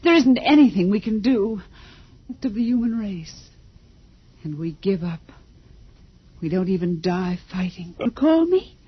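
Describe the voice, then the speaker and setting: A woman's voice: mid-range voice, expressive, a little slow, long pauses, slightly rough, no room sound. An actress playing an earnest, emotional woman survivor with the dramatic delivery of a 1950s radio drama. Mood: anxious, despairing. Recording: dull, hissy old radio recording.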